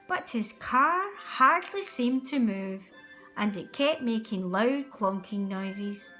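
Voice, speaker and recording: A woman narrating a children's storybook aloud in an expressive, deliberate way. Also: fairly high pitch, soft background music, poor audio.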